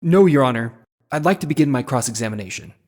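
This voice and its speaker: An actor playing an earnest, uncertain but determined defense lawyer with a dramatic manner in a video game dub. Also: male voice, mid-range voice, animated, fast, big-room sound, lightly acted. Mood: composed. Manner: dramatic, alternating asides and courtroom declarations.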